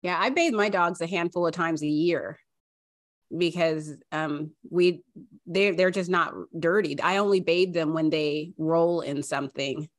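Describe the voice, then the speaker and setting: Casting note: woman host, low voice, relaxed and conversational, webcam sound. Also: measured pace. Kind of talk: casual video-call chat. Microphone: video call webcam.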